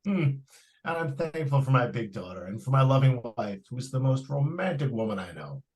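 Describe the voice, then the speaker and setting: A man's voice: mid-range voice, very animated, fairly quick, a little mellow, lightly acted. A man playing an indulgent but firm father in old-time radio drama, patronizing and a little stammering. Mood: relaxed, sentimental. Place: live stage radio-play performance.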